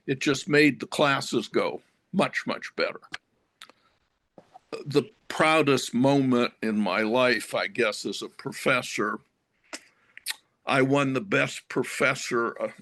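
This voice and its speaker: Slow-talking older man telling his story. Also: medium pitch, gravelly, fairly bright tone, no room sound. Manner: slow, reflective and apologetic. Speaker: retired professor who stutters. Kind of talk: personal story in a group discussion.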